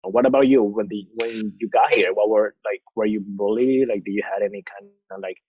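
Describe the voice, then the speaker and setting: Low-voiced young man from Ecuador, easygoing. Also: fairly even intonation, fairly quick, breathy edge, dry recording, muffled recording. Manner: easygoing, many fillers. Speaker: podcast co-host. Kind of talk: casual podcast chat.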